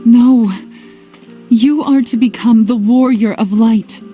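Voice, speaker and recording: An earnest young woman in a game drama. Her voice is fairly high and mellow, gentle and anxiously pleading, unhurried, over faint music. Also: fairly even intonation. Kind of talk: dramatic video game voice acting.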